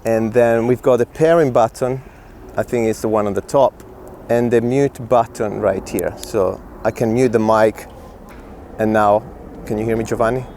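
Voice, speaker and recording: A man's voice: lowish voice, fairly even intonation, moderate pace, a little mellow, a little hiss or hum. A man hosting a casual gear review, talking informally and directly to viewers. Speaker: host reviewing film gear. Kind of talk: casual product review chat.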